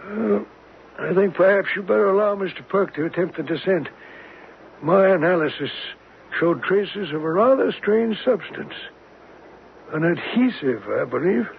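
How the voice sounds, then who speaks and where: An actor playing a scholarly older professor in a 1970s radio drama, speaking with a hesitant, deliberate manner. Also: male voice, high-pitched, expressive, gravelly, full character voice. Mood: grave. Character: scholarly older male professor, absent-minded yet eager. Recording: noisy, tinny old radio broadcast.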